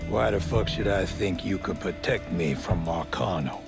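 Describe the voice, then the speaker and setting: Gravelly older mobster. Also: male voice, low voice, normal speed, loud music behind the voice. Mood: angry defiance. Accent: American. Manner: gruff, profane, streetwise. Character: gruff older mob lieutenant, profane, wary, embittered. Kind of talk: video game cutscene acting.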